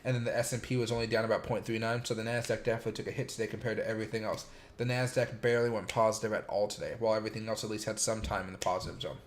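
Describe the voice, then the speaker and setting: Man with a sore, raspy streaming voice. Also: lowish voice, flat delivery, racing, few pauses. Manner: rambling, filler-heavy, talks to chat viewers by name. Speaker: crypto YouTuber and streamer. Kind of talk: casual livestream chat with chart commentary.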